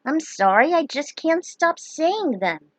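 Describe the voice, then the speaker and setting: An excitable young fantasy adventurer, acted out by a woman reading a story, pitched high and gushing with eagerness. The delivery is exclamatory and loud, recorded dry. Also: takes it slowly, no room sound, heavily acted. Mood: eager.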